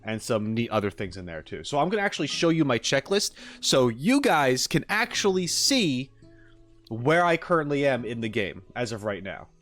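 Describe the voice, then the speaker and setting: A man's voice: mid-range voice, big pitch swings, moderate pace, fairly bright tone. A man live streaming, talking casually and thinking aloud in an easygoing, rambling way. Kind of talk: casual livestream chat. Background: background music.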